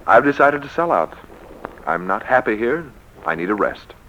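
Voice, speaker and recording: An actor playing a flustered, lovelorn businessman in 1940s radio drama style, with hesitant, halting delivery. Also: fairly low pitch, sing-song, pushed and bright, dull audio. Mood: awkward, resigned. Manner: hesitant, halting when emotional. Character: flustered, lovelorn businessman, impatient boss, adult man. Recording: noisy vintage 1940s radio recording.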